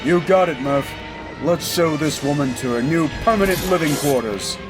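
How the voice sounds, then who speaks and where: A man's voice: fairly high pitch, normal speed, music in the background, close to an everyday voice. A man playing an earnest, devout ghost hunter in an audio drama, conversational and a little rambling. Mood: urgent, focused. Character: earnest, devout male ghost hunter with folk-religious methods.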